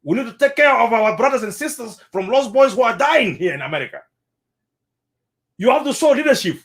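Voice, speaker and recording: South sudanese guy, high voice that jumps around a lot, repeats himself, casual chat. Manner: animated, repeats words, mixes in Arabic phrases. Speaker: interviewee. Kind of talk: casual spoken commentary.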